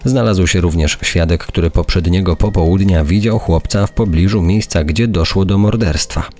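A man's voice: low-pitched, moderate pace, a little mellow. A man reading a scripted true-crime narration. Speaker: podcast narrator. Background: background music.

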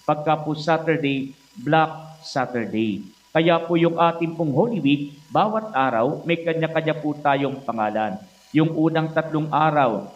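Higher-pitched Filipino priest, dull muffled sound. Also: male voice, darkish tone. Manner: didactic, asks and answers own questions. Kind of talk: homily, explanatory preaching. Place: indoors at a church altar. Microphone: microphone on stand at lectern, face mask worn.

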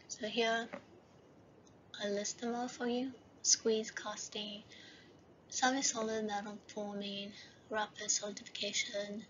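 A woman lecturing over slides for a university class, often checking in with "right?" and slowing down to read slide headings. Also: mid-range voice, a bit bright. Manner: repeats "right?" and "okay?" as checks. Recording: dull lo-fi voice-over with faint hiss.

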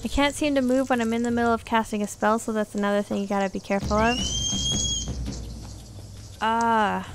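Creaky-voiced woman doing casual gameplay commentary. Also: on the high side, fairly even intonation, fairly quick, long pauses, very noisy. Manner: chatty, thinking aloud, exclaiming at the game. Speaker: video game player and commentator.

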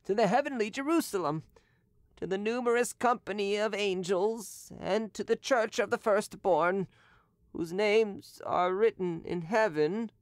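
Falsely pious murderer, man, read by a woman, hushed solemn prayer, dry close sound. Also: medium pitch, a little slow, no echo, pitched above the performer's own voice, close to an everyday voice. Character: scheming, falsely pious male poisoner.

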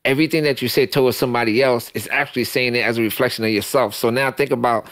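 A man's voice: mid-range voice, fairly even intonation, quick pace, fairly bright tone, no room sound. Passionate, profane Haitian-born man. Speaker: guest. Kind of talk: casual interview talk. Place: small podcast studio. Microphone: studio mic on boom.

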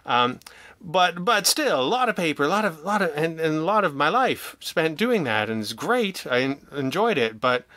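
A man talking casually as an interview guest, telling stories in an animated, jokey, self-deprecating way and acting out remarks he quotes. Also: on the high side, moderate pace, few pauses, dry recording. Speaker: guest, audio drama writer and producer.

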